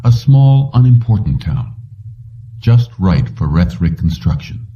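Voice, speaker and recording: A man's voice: low-pitched, sing-song, unhurried, dark tone. A man reading a short story as scripted audiobook narration. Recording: dull lo-fi, distant from mic.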